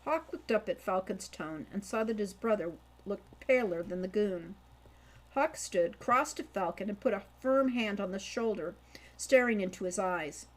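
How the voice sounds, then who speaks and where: A woman's voice: medium pitch, animated, normal speed, poor audio. A woman reading scripted fiction aloud as an audiobook narrator. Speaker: audiobook reader, author.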